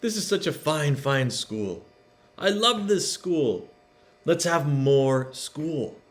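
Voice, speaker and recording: A man voicing a proud, enthusiastic male school principal in a children's storybook, proclaiming with drawn-out emphasis. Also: a little high, animated, pitched above the performer's own voice. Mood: proudly announcing.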